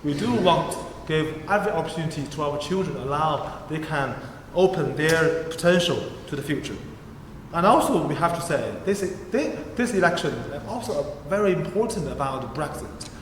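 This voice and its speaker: A man with a Chinese accent gives an earnest candidate's speech, his phrasing halting and non-native. His voice sits slightly high for a man. The sound rings with the long echo of a big church hall. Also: lively intonation, slight background noise. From China. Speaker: parliamentary candidate. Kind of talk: hustings speech. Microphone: far room mic, standing speaker.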